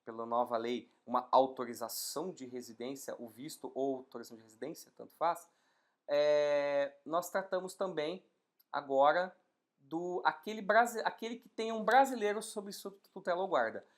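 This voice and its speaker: A woman gives an exam-prep law lesson in a somewhat low voice. She walks through each rule step by step, firing rhetorical questions and answering them herself. Her delivery is lively, with sudden bursts of emphasis. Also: moderate pace. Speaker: law instructor. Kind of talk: exam-prep legal lecture.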